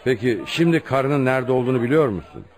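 An actor playing a firm, patient police superintendent in a radio play, measured and authoritative. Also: male voice, mid-range voice, lively intonation, faint music behind, some chatter behind, close to an everyday voice. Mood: pressing, stern. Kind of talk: Turkish radio theatre acting.

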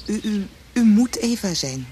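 A woman's voice: mid-range voice, lively intonation, unhurried, warm tone, slight background noise, lightly acted. An actress playing a nervous, wistful woman who stammers hesitantly in a radio drama. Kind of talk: Dutch radio drama acting.

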